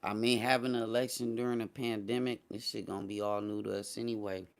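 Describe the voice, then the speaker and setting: Casting: American male livestream host, lowish voice, casual chat delivery, blunt and dismissive. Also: flat delivery, quick pace. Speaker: host of a morning talk show.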